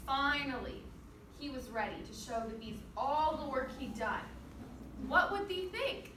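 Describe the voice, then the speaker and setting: A woman's voice: high voice, expressive, a little slow. A woman teaching through an interactive storybook read-aloud, encouraging and often putting questions to her young listeners. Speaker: student teacher reading aloud to a class. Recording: roomy, distant microphone, clear background noise.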